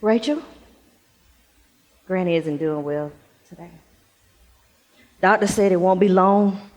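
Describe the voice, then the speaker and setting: Woman acting a low-voiced sorrowful relative. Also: takes it slowly, a little mellow, dull audio. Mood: somber, pleading. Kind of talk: stage play acting.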